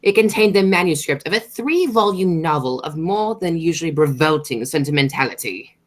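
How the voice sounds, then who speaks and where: A woman's voice: low-pitched, lively intonation, normal speed. A woman playing a stern, imperious aristocratic lady in a radio play, speaking in a haughty, commanding, formal way. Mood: haughty, disdainful.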